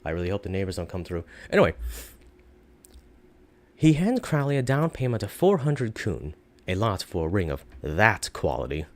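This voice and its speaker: A man with a low voice narrates a story aloud. His delivery is expressive, with pitch that moves widely from phrase to phrase. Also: normal speed.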